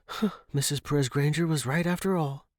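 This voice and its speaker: A man voicing a flustered, earnest, kindly gentleman explorer in a storybook, exclaiming with old-fashioned politeness. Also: a little high, fairly quick, no echo, clean studio sound, voice pushed up, natural voice. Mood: reassuring. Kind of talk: storybook character dialogue.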